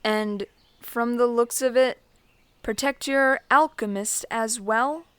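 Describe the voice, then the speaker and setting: Lady as loyal teasing knight, highish clean smooth voice, fantasy audio drama. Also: takes it slowly, dry recording. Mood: wry, loyal. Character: female knight, loyal protector, wryly teasing.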